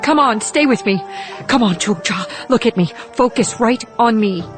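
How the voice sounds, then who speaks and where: An actress playing a tough, skeptical woman government agent with a brisk, direct manner in an audiobook. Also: fairly high pitch, lively intonation, soft background music, lightly acted. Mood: urgent. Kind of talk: audiobook character acting.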